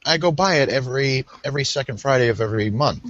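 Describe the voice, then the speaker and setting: A man's voice: mid-range voice, expressive, fast talker, a bit bright, dull audio, slight background noise. A man from the Pittsburgh area co-hosting a podcast, giving blunt opinions in casual chat. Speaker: podcast co-host.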